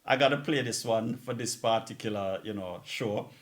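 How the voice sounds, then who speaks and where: Low-voiced man hosting a music show. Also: moderate pace. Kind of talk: casual radio DJ chat between tracks.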